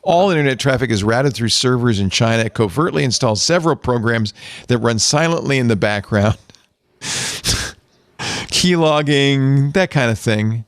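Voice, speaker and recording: A man's voice: medium pitch, expressive, moderate pace, no room sound. A man hosting a tech podcast, reading out news items with wry asides. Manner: wry, reads out articles with asides. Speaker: podcast host. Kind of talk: casual chat.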